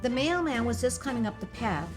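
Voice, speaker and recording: A woman's voice: fairly high pitch, big pitch swings, brisk. Woman reading a children's storybook over music. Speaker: storyteller reading a picture book aloud. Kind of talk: expressive children's storybook narration.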